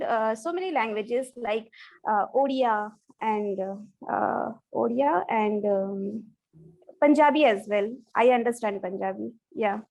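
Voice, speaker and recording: A woman whose first language is Bangla, speaking English slowly and hesitantly on a video call, with many restarts and fillers as she practices. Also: a little high, a little mellow. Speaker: English learner. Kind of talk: online English practice conversation.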